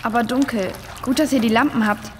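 A woman's voice: fairly high pitch, steady tone, a little fast, natural voice. A woman playing a caring, curious girl in a children's adventure audio play. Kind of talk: children's audio drama acting. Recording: long cave-like reverb, noisy water ambience.

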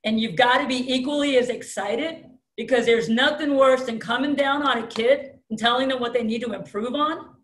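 A middle-aged woman with a thick New York accent talks plainly and bluntly, a self-styled alpha female. Her voice sits a little high and comes through a webcam in a room at home. The video-call sound is noticeably roomy and echoey. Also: normal speed, hardly any pauses, slight background noise. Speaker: high school strength coach, guest. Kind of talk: casual interview talk.

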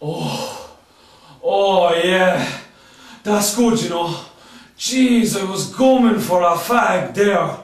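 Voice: mid-range voice, lively intonation, very slow. An actor playing an indignant, whining patient in a radio horror-comedy, brash and complaining, with an Irish accent. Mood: grumbling. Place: large book-lined room in a house. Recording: roomy, distant on-location recording.

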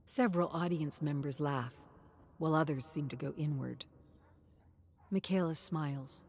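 A woman reading a scripted story as a dramatic narrator, theatrical and emphatic, with pauses for effect. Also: deep voice, a little mellow, a little hiss or hum.

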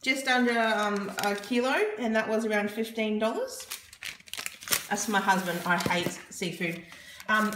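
A young Australian woman chatting casually through a grocery haul, rambling with lots of ums, filmed on a phone at home. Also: medium pitch. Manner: chatty, many ums, rambling price tallies. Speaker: vlogger. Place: home kitchen, indoors. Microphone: phone camera held over the counter. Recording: echoey room with loud background noise. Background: husband and dog heard.

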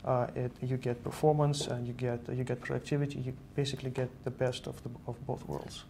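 A man's voice: medium pitch, flat delivery, fairly quick, vocal fry, dark tone, a little hiss or hum. A man in his thirties from Estonia, speaking as an earnest guest in a studio interview, often repeating words as he explains. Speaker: guest, software engineer. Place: virtual news-style studio set.

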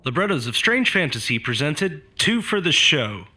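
A man announces the closing credits in a scripted read. His voice is bright and his pitch is lively and a little high. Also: moderate pace, a little hiss or hum.

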